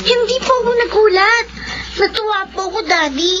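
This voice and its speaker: A woman's voice: very high voice, normal speed, a loud crowd. A woman playing a polite, obedient and timid young daughter in a horror radio drama. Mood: polite, earnest. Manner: polite, deferential. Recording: muffled radio drama, faint noise.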